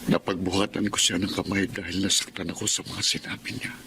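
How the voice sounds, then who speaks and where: A man's voice: deep voice, flat delivery, brisk, creaky, noisy background. An actor playing a remorseful middle-aged father in a radio drama, sorrowful, pleading and deferential. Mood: sorrowful prayer. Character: remorseful, troubled father trapped in criminal work. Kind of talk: Tagalog radio drama acting.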